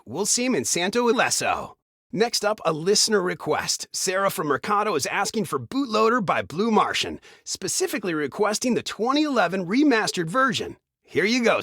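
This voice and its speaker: An actor playing an upbeat retro radio DJ in a video game, with a smooth announcer's delivery of scripted patter. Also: male voice, high-pitched, a bit bright, no room sound, clean studio sound, exaggerated voice.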